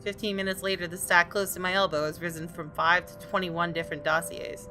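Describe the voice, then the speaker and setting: Female game narrator: lowish register, expressive storytelling read, music bed underneath. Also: fairly quick. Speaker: gaming video host reading the story. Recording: music playing behind.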